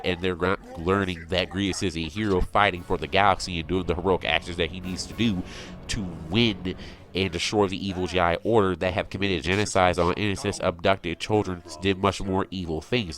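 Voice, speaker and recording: Low-voiced man in an insistent rant. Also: a bit bright. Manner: insistent, repetitive, exasperated. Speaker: online video commentator. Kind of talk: opinionated fan rant.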